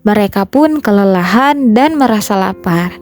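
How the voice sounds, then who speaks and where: A woman's voice: medium pitch, expressive, unhurried, a little mellow. A woman narrating a scripted children's folktale as a storyteller. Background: background music.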